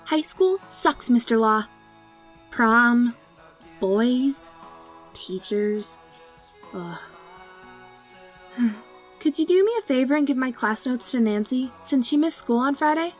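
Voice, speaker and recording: High-voiced teenage barista girl. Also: expressive, slow, pauses often, faint music behind. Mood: exasperated. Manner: casual, teenage. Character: chatty, friendly seventeen-year-old high school girl barista. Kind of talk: audio drama acting.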